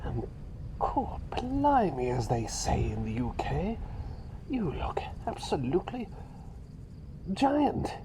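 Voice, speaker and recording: A man voicing a mild, flustered doctor turned into a small talking bird for an ASMR roleplay, softly, often whispering, hesitating and repeating himself. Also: a little high, huge pitch swings, slow, long pauses, exaggerated voice. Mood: bemused. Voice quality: soft, breathy, often dropping to a whisper. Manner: hesitant, rambling, repeats phrases. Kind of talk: ASMR roleplay, personal attention. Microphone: close ASMR microphone. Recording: close microphone with clear background noise.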